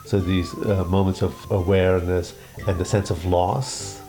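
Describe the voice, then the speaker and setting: A middle-aged man speaks in an interview with a very low voice. His tone is dark and mellow, and his speech is halting and thoughtful, full of small fillers and restarts. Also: flat delivery, faint music behind. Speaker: interviewee, parent. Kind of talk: conversational interview.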